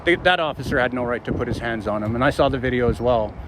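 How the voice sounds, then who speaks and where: A man talking loudly and earnestly as he argues about filming rights with police. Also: medium pitch, quick pace. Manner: loud, earnest, argumentative. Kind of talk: confrontational street conversation. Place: outdoors by a street. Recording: loud outdoor traffic and wind noise.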